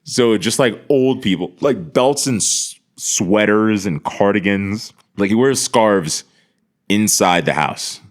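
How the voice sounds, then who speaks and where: A man's voice: fairly low pitch, slow pace. A man from the United States, a podcast guest telling casual comedic stories with profane, slangy exaggeration.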